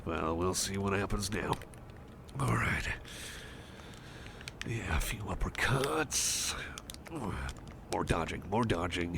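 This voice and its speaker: Man playing a shy, stammering, low-voiced cyborg pal. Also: creaky, background noise, heavily acted. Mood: apologetic, excited. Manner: hesitant, stammering, repeats phrases, checks on listener. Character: shy, eager, reassurance-seeking male cyborg friend. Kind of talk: ASMR roleplay, personal attention.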